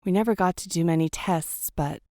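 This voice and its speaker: A woman's voice: fairly low pitch, normal speed, dark tone, dry recording, studio quality, close to an everyday voice. A woman playing the earnest ghost of a wartime doctor in a radio drama, speaking frankly and conversationally. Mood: grave, confessional. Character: earnest female ghost of a Great War doctor.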